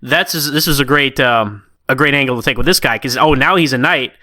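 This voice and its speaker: An American man in a casual podcast chat, talking in a halting, rambling, animated way as a co-host reviewing stories. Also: mid-range voice, dry recording.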